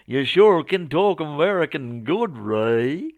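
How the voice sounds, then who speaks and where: A man's voice: on the high side, big pitch swings, takes it slowly, no room sound, phone-quality sound, voice pushed up, exaggerated voice. A man voicing a zealous, naive Southern American missionary in a told story, with a folksy, preachy drawl. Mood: earnest zeal.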